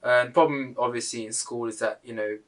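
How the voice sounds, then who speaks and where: A man's voice: medium pitch, steady tone, fairly quick. A man who works in a school, chatting casually in an interview with frequent hesitant fillers.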